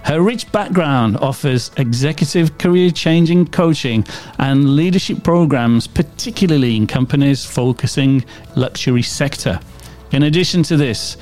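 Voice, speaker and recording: Male podcast host, upbeat presenter delivery, mid-pitch voice, faint music underneath. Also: a little mellow. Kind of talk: scripted show intro then interview.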